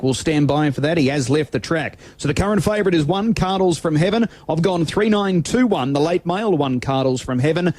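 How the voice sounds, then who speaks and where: A man with a fairly high voice works as a racing betting reporter. He delivers a live radio update on odds and market movers. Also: darkish tone. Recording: shortwave and AM radio broadcast.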